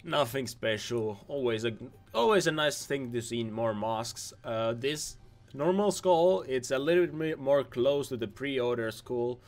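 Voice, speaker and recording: A young man, a little hoarse from losing his voice, giving casual rambling commentary over game footage, repeating himself and restarting words. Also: medium pitch, measured pace, soft background music. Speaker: gaming video host.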